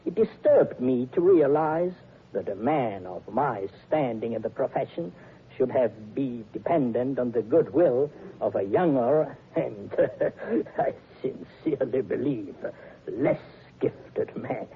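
An actor playing an older, verbose, self-satisfied psychoanalyst in a theatrical, pedantic style of old-time radio drama. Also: male voice, medium pitch, lively intonation, slow, a little hiss or hum. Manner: theatrical, rambling, pedantic, chuckling. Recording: muffled, lo-fi vintage radio broadcast.

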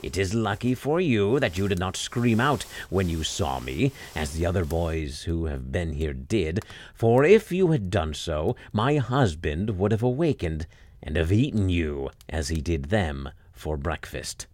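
A man's voice: sits low, animated, measured pace, darkish tone. A man voicing a frightful giantess, weary, bossy and scheming, in a theatrical storybook reading. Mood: approving, bossy. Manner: theatrical, commanding.

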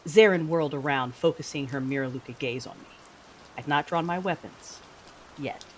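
A woman's voice: low-pitched, normal speed, a little hiss or hum, natural voice, low-quality recording. A woman playing an intense, battle-hardened Sith mother who narrates her own story theatrically in an audio drama. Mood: brooding, tense. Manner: brooding, theatrical, emphatic outbursts. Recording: music bed with rain sounds behind.

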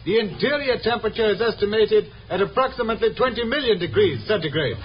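An actor playing a fragile sideshow mental marvel with a formal, precise way of speaking in a 1950s radio drama. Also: male voice, sits high, lively intonation, quick pace. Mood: reciting. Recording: dull noisy vintage radio, crowd behind.

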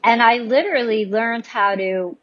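A woman academic, a guest in an interview, speaking in an assertive and measured way. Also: a little high, no room sound, highs cut off. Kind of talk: interview answer.

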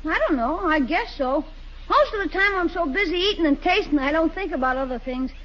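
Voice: very high voice, expressive, fairly quick, fairly bright tone. A performer playing an offhand, cheeky young boy in a 1940s radio comedy, plain-spoken. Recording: muffled 1940s radio recording, faint noise.

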